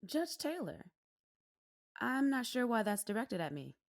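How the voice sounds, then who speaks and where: A woman's voice: on the high side, sing-song, unhurried. Woman doing a lawyer, polite but defensive, sing-songy up and down voice. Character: defensive female defense attorney.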